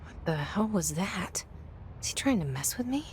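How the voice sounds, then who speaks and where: An actress playing a guarded game heroine's inner voice, dry, muttered and sardonic. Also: female voice, fairly low pitch, sing-song, normal speed, a little mellow, slight background noise. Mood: wry, wary. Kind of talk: internal monologue in a video game.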